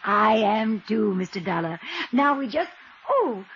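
A woman's voice: mid-range voice, lively intonation, a little slow, a bit bright. A woman playing a sweet, gushing, eccentric rich old lady with genteel manners in a 1950s radio drama. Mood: gushing, delighted. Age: older. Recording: dull, noisy old radio recording.

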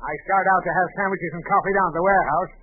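A man's voice: high-pitched, fairly even intonation, rapid-fire, narrow, tinny audio, a little hiss or hum, close to an everyday voice. A man playing an irritable, stingy, blustering boss in a 1940 radio comedy. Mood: exasperated. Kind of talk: 1940 radio sitcom acting. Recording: thin, band-limited 1940 radio recording.

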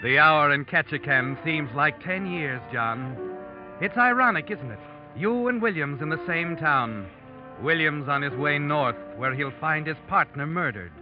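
A man's voice: fairly high pitch, expressive. Music plays behind an ominous narrator on a muffled vintage radio recording. He speaks directly to you, suspenseful and ironic. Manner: ironic, suspenseful, deliberate phrasing. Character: ominous, knowing male narrator addressing the guilty man. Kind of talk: dramatic second-person radio narration. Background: dramatic music.

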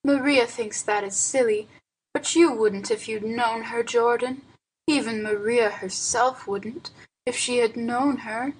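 A woman voicing a frail, wistful old aunt in a story reading, with a husky, plaintive, pleading voice. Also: a little high, poor audio. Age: older.

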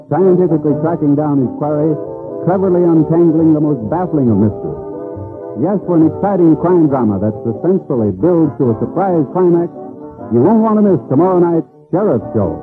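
A man announcing a scripted promo in the polished style of 1940s radio. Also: fairly high pitch. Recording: thin, phone-like old radio broadcast.

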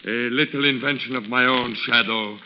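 An actor playing a scheming occult hypnotist villain in 1940s radio style, with slow, menacing, melodramatic delivery. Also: male voice, medium pitch, fairly even intonation, close to an everyday voice. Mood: defiant, gloating. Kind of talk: 1940s radio mystery acting. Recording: echoey, hissy, dull old radio recording.

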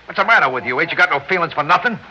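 A man acting a big, hurt, quick-tempered working-class American husband in an old radio drama, with rough, emotional speech. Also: fairly high pitch, expressive, bright, forceful tone. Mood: angry. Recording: dull, noisy old radio recording.

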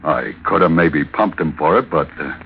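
A man's voice: extremely low pitch, expressive, normal speed, gravelly, a little hiss or hum, exaggerated voice. A man playing a sly, smug henchman with slangy, insinuating talk in an old radio crime drama. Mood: sly, confident. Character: Red Gallagher, sly smug hardboiled male henchman. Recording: muffled old radio recording.

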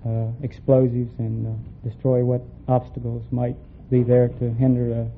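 Young male sailor contestant, mid-range voice, plain halting answers, thin vintage radio with faint noise. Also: mid-range voice, completely flat delivery, phone-quality sound. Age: young adult. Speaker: navy frogman, quiz contestant. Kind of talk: plain, hesitant interview answers. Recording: thin, faintly noisy old radio recording.

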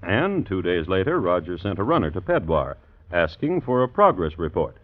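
A man narrating as host of an old-time radio drama, with dry, mock-formal wit and deliberate hesitations. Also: low-pitched, big pitch swings. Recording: muffled old radio recording. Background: music.

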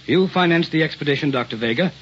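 A man's voice: fairly high pitch, fast talker, fairly bright tone, lightly acted. Man playing muffled radio-drama professor, earnest and formal. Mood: resigned. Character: earnest, puzzled male professor of science. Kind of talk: old-time radio drama acting. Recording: dull, noisy old radio recording.

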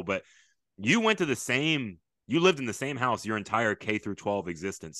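A man in his late thirties talks at a brisk clip with a bright, forward tone. His voice sits on the low side and is recorded close and dry. He hosts casually, winding through reminiscences on the way to each question. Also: no echo. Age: late 30s. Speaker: podcast host, football coach. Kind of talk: casual conversational interview.

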